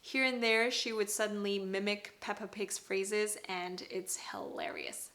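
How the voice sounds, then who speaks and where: A woman's voice: medium pitch, animated, a little slow, a bit bright. Upbeat Cantonese-native woman vlogger. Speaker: parenting vlogger. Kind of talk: scripted talk to camera.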